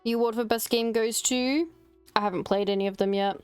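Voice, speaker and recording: A woman's voice: on the high side, fairly even intonation, brisk, a little mellow, clear, resonant tone, no room sound, soft background music. A woman streaming a game casually, informally reading dialogue aloud and muttering her own reactions in between.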